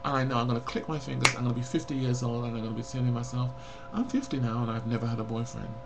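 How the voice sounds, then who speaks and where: A forty-year-old man speaks bluntly and reflectively into a webcam, his voice gravelly with vocal fry. He rambles in long confessional runs. A faint hum sits under the recording. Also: medium pitch, rapid-fire. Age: 40s. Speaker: vlogger. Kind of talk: personal confessional vlog to camera.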